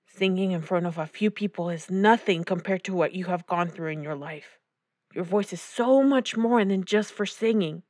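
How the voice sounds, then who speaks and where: Woman voicing a gentle, encouraging uncle. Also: on the low side, moderate pace, dry recording, clean studio sound, lightly acted. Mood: tender, encouraging. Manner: tender, reassuring, mixes in Spanish endearments.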